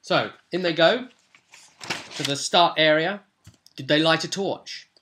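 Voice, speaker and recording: A man giving an informal, chatty walkthrough as a tabletop game master, with animated asides. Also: on the high side, a little slow, a little hiss or hum.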